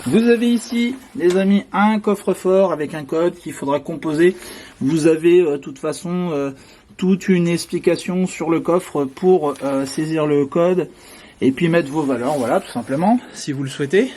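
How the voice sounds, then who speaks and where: A man's voice: on the high side, moderate pace, warm tone. A man vlogger chatting casually as he walks through a hotel room, friendly and full of filler sounds. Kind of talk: casual walkthrough commentary. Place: indoors in a hotel, walking through corridors and a room.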